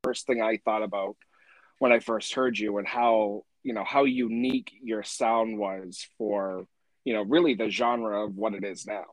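A man's voice: lowish voice, fairly even intonation, measured pace, no echo, low-quality recording. A man hosting a casual interview, enthusiastic and leaning heavily on "you know.". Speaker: interviewer, host.

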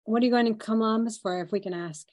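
A woman co-hosting a podcast with a head cold, speaking in an encouraging, measured way. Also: on the high side, darkish tone, no echo, clean studio sound. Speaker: podcast co-host. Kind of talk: casual podcast chat.

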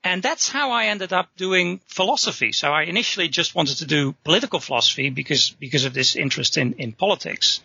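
Man, Dutch accent, husky gravelly voice, bright tense tone, halting repeated words, dull lo-fi remote audio. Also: a little high, dry recording. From north of the Netherlands. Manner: hesitant, repeats words while thinking. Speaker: guest author. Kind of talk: casual interview answers.

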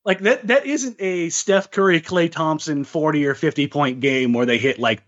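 A man's voice: on the high side, normal speed, a bit bright, dull audio. A man co-hosting a sports podcast in casual chat, often repeating words as he thinks aloud.

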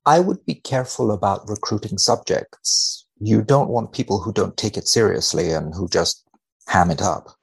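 A man speaking as a researcher guest in conversation, wry and measured, giving tongue-in-cheek commentary with mock seriousness. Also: on the low side, vocal fry, husky. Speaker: podcast guest, biomedical researcher.

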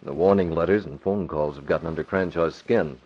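A young, self-assured masked hero in a vintage radio serial. The voice is deep, calm and commanding. The recording has the dull tone of an old broadcast. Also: male voice, fairly quick, slightly rough. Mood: calm, determined. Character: confident young newspaper publisher, secretly a masked crime-fighter. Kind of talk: old-time radio drama acting.

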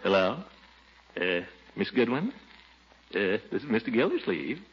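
An actor playing a pompous, easily flustered middle-aged uncle in 1940s radio comedy style. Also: male voice, lowish voice, big pitch swings, measured pace. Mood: coy, courting. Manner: pompous, exasperated, theatrical. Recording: muffled, noisy old radio broadcast.

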